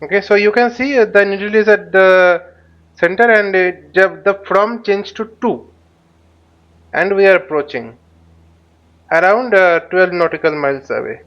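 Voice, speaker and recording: Higher-pitched man, muffled mic. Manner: halting, explanatory, thinking aloud. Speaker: flight simulator instructor. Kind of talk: informal tutorial commentary while flying a simulator.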